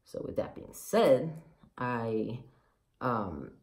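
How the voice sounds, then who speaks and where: A man's voice: medium pitch, big pitch swings, extremely slow. A man of about twenty, raised in Mexico, with a light Mexican Spanish accent, talking candidly and rambling with many fillers in a casual personal vlog. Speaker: vlogger.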